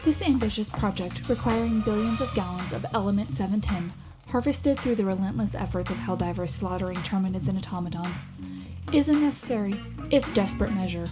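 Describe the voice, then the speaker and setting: A woman performs an earnest female tech correspondent on a wartime radio drama. Her mid-pitched delivery is polished and patriotic, like a scripted bulletin. Music plays clearly behind her. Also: natural voice. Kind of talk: scripted radio news report.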